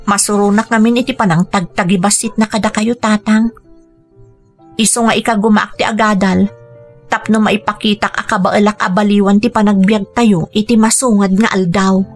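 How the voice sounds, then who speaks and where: A woman's voice: medium pitch, steady tone, moderate pace, soft background music, close to an everyday voice. A woman playing an earnest young student daughter, hurt on her father's behalf, in an Ilocano-accented Filipino radio drama style. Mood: sorrowful, resolute. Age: young adult.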